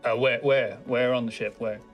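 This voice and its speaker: A man improvising casually as a player in a tabletop roleplaying game, speaking in a halting way. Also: mid-range voice, fairly even intonation. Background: music.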